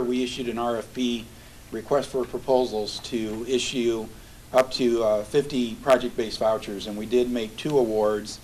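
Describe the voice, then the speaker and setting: A man's voice: mid-range voice, darkish tone, recorded from a distance, slight background noise, low-quality recording. A man who directs a housing agency, giving a methodical, businesslike briefing at a public meeting.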